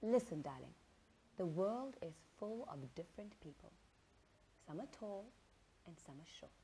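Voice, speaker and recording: Outspoken mum acted by a female reader, firm, deliberate, expressive, short-story dialogue, slight background noise. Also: lowish voice, voice pushed down. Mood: gentle, firm. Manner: firm, emphatic, patient with child. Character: outspoken mother, firm, fiery yet tender.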